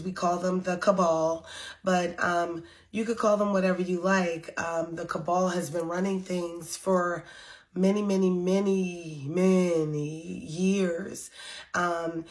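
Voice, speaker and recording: A woman leading a spiritual coven, talking casually to her followers while she recovers from losing her voice, with many pauses and ums. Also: fairly low pitch. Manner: slow, unhurried, with frequent ums. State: recovering from lost voice. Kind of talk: casual spiritual talk to camera.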